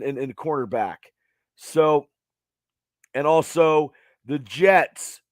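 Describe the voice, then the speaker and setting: Hoarse male podcast host, slightly high pitch, halting delivery full of fillers, dry close mic. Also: dry recording. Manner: halting, many fillers and restarts. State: hoarse, losing his voice. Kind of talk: casual sports podcast talk.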